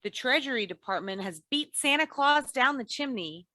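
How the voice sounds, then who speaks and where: Actress as shrewd, affectionate sitcom-style radio wife; bright forceful tone, dry close sound, teasing comebacks. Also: female voice, high-pitched, animated, normal speed, dry recording. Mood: wry, fond. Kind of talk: 1940s radio comedy acting.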